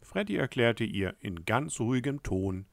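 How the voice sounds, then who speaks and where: A man's voice: mid-range voice, very animated, unhurried, vocal fry, no echo. A man reading a children's story aloud as a friendly letter, addressing the listener directly. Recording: dry close recording.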